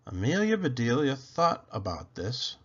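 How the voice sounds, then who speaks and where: A man reading a children's picture book aloud as an expressive narrator. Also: medium pitch, a little slow, breathy edge, dull audio.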